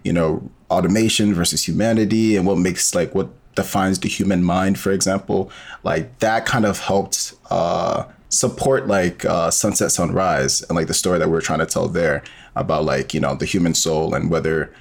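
A man's voice: deep voice, fairly even intonation, moderate pace, gravelly. A man as a podcast guest, talking casually and rambling with many fillers. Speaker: podcast guest, audio drama creator. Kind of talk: casual interview conversation.

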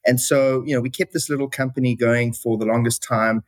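A man's voice: medium pitch, fairly even intonation, a little fast, no echo. Guest: South African man, reflective, filler-heavy answers, dead-dry recording. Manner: reflective, with many fillers like "you know". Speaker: company chief executive, guest. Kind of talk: interview answers.

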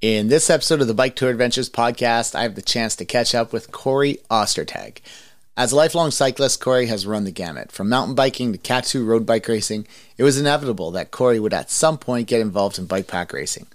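A man's voice: medium pitch, a little fast, no echo. A man in his thirties hosting a podcast, talking to listeners and guests. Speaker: podcast host. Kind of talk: podcast talk and interview.

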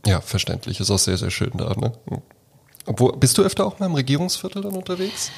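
Ein Mann moderiert ein Podcast-Interview, gesprächig und zugewandt, mit vielen bestätigenden Einwürfen. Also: male voice, mid-range voice, very animated, quick pace, vocal fry, a little mellow, slightly rough. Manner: friendly, chatty, lots of affirming fillers. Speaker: podcast host.

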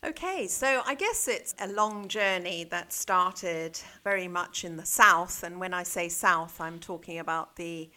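Bright, lowish-voiced South African woman. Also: animated, a little slow, hardly any pauses. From South Africa, born in Zambia. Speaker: interview guest, head of a junior school. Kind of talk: reflective conversational interview.

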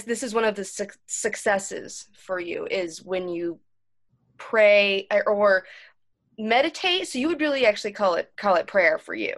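A woman interviewing a guest, often pausing and rephrasing as she searches for words. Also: mid-range voice. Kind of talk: conversational interview.

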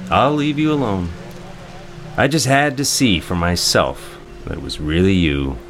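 A man's voice: low-pitched, unhurried, faint music behind. An actor playing a mocking, spiteful man in a period melodrama, with a sneering, sarcastic way of talking. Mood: smug gloating. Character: mocking, spiteful man gloating over a woman's secret.

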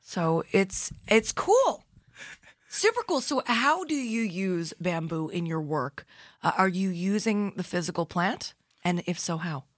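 A woman's voice: lowish voice, very animated, unhurried, dry recording. A woman hosting a radio interview in a studio, asking curious, eager follow-up questions in a conversational way. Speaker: radio host.

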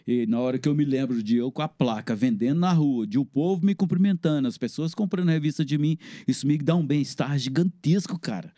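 A man's voice: on the high side, dark tone, no echo, studio quality. A man, a guest in an interview, talking plainly and telling his own story at length. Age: 60s. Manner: plain-spoken, evasive, drawn-out storytelling. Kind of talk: casual interview talk.

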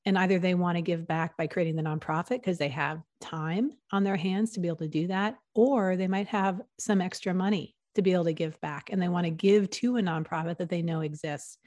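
A woman's voice: on the low side, fairly even intonation, fairly quick, darkish tone, no room sound. A woman from the US East Coast in her forties, a podcast guest talking earnestly and upbeat with frequent restarts. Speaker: guest, nonprofit consultant. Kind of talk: podcast interview.

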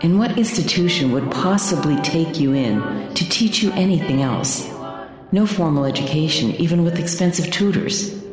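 A low-voiced, contemptuous mother, wrapped in heavy, cavernous reverb. She speaks precisely and cuttingly, as an audio drama villain tearing down her son. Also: lively intonation, normal speed, over music. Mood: scornful. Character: cold, scornful mother belittling her grown son. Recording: cavernous echo, long reverb.

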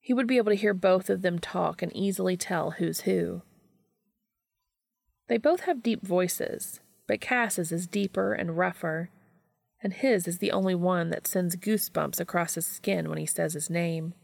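Creaky-voiced woman reading a story, dry sound. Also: on the low side, a little slow, darkish tone, no room sound. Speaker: fan fiction reader. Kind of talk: audiobook-style narration with character dialogue.

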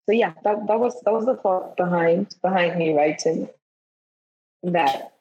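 A woman from Ghana, a guest in a podcast interview, telling travel stories in an animated way, acting out the voices she quotes and often restarting her sentences. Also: lowish voice, a little slow, slight background noise. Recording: dull lo-fi audio.

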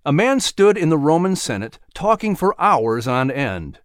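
A man playing an announcer who reads a story in a measured, dramatic way, as scripted narration. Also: a little high, very animated, no echo, studio quality. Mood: narrating.

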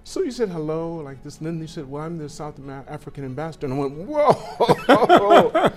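A man's voice: fairly high pitch, sing-song, normal speed. A man hosting a podcast, asking eager, chatty follow-up questions. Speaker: podcast host. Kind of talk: interview conversation.

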